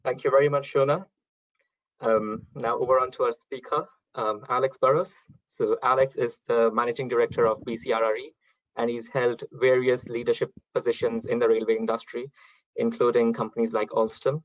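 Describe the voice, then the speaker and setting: A man hosting an online event, polite and organised, pausing often with small hesitations. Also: mid-range voice, level tone, no echo. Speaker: event host. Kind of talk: webinar hosting. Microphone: video call. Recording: dull, dry online call audio.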